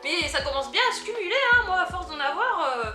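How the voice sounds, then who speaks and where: A woman chatting through an unboxing vlog, easily delighted and quick to giggle. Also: very high-pitched, lively intonation, sharp tone, roomy sound, soft background music. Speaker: unboxing vlogger. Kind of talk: casual product unboxing chat.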